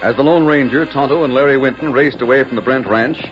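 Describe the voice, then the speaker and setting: A man's voice: medium pitch, quick pace. A man reading scripted narration for a 1940s radio western drama. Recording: muffled 1940s radio recording with music. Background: music.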